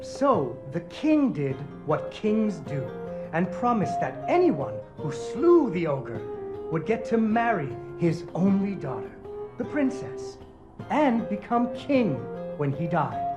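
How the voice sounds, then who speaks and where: A playful dad narrating a fairy tale for his little girl in a video game. His delivery is expressive, with a very lively pitch that swoops up and down. Guitar music plays behind him. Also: slow, full character voice. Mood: playful storytelling. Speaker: storyteller. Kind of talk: animated bedtime-story narration in a video game.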